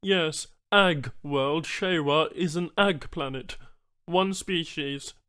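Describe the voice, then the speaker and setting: A man voicing a formal, eager male alien trader who speaks in halting, oddly ordered phrases for an audiobook. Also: a little high, fairly bright tone, smooth, pitched above the performer's own voice. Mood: businesslike. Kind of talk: audiobook character voice.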